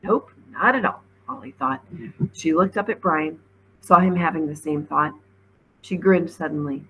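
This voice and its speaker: A woman's voice: sits low, fairly even intonation, normal speed, a bit bright, slight background noise, rough recording. A woman, a teacher, reading a children's novel aloud as narrator. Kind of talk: storybook read-aloud narration.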